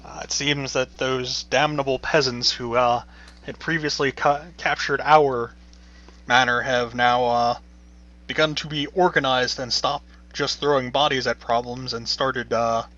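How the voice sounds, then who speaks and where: Flustered servant, a man doing it: bright, pushy tone, formal and hurried, on a dull lo-fi track. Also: medium pitch, fairly even intonation. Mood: anxious. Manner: formal, breathless, hurried pleading. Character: panicked, flustered male servant of a noble house. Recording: dull lo-fi recording.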